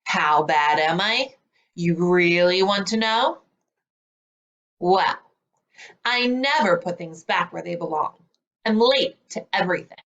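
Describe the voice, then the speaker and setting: A woman voicing a brazen, self-declared bad little seed in a storybook, with dramatic, confessional delivery and drawn-out words. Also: fairly low pitch, expressive, fairly bright tone, lo-fi sound, exaggerated voice.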